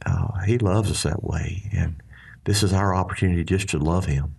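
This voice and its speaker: A man's voice: deep voice, moderate pace, gravelly, a little mellow, slightly rough. A man with a Southern US accent, talking about faith in an earnest, folksy way and often restarting his sentences. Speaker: ministry leader. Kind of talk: casual faith discussion.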